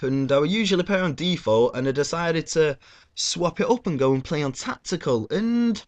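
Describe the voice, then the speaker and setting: Stuffy-nosed gamer rambling. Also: male voice, medium pitch. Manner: rambling, loose and sweary, with many fillers. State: stuffy, blocked nose. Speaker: gaming YouTuber. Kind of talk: casual gameplay commentary.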